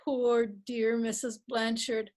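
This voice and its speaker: A woman's voice: on the high side, flat delivery, slow, darkish tone. A woman reading the part of a kindly, flustered old lady who knits and rambles through her story. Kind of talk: readers theatre reading.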